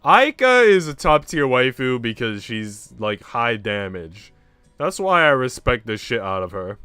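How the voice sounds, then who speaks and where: A man's voice: mid-range voice, big pitch swings, a little slow, pushed and bright, clean tone, dry recording, faint music behind. A man livestreaming in casual chat, slangy and bantering with mock outrage.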